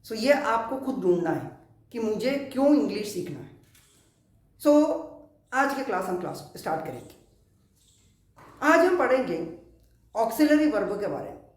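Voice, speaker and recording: A man from Kerala, teaching a spoken English grammar lesson in a slow, deliberate way with many English terms. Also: high voice, very animated. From Kerala, India. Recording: echoey room.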